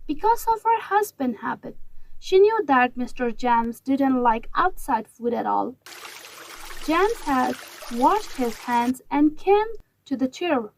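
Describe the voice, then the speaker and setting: A woman's voice: high-pitched, big pitch swings, takes it slowly, vocal fry, poor audio. A woman storyteller reading a scripted moral story for children.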